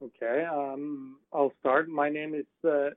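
A man from Norway, a filmmaker, speaking in a measured, hesitant way with many "uh"s. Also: on the high side, flat delivery, no echo. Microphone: phone. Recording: tinny dry phone line.

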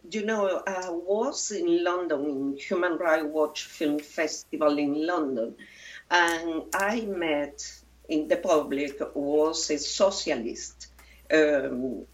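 A woman from Venezuela, a documentary filmmaker being interviewed, speaking haltingly with many restarts and fillers. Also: deep voice, distant mic, low-quality recording.

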